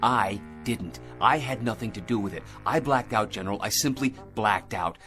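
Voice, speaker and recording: An actor playing a desperate, bewildered astronaut in a radio drama, with an urgent, strained delivery. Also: male voice, fairly low pitch, moderate pace, sharp tone, faint music behind. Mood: distressed, insistent.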